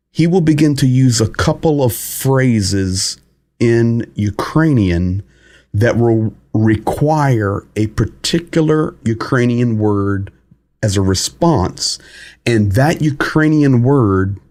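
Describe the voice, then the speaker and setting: A lower-pitched man talks through a live update for his viewers. His phrasing is loose and halting, full of pauses and restarts, and he breaks off to greet people in the chat. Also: darkish tone. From United States. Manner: greets viewers and reads chat comments aloud. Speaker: host of a live war update stream. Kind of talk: casual live-stream commentary.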